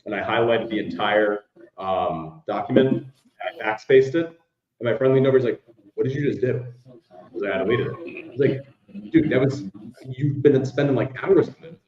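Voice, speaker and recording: Young male musician guest, baritone, rambling, roomy and muffled sound. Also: normal speed. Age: young adult. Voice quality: baritone speaking voice. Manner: rambling, many "like" fillers. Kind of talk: casual interview chat. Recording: echoey, dull lo-fi room sound.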